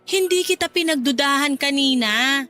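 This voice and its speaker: An actress playing a jealous, sulky wife in radio drama dialogue, with an accusing way of talking. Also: female voice, high-pitched, moderate pace, sharp tone, faint music behind. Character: jealous, sulky, suspicious young-sounding wife.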